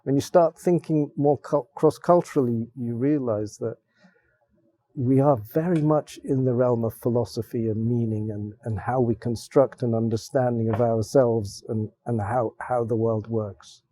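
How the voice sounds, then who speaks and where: A man speaks on a roundtable panel, his voice mellow and dark. He explains ideas thoughtfully, pausing and restarting his phrases as he goes. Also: mid-range voice, steady tone. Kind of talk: roundtable discussion. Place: theater stage panel.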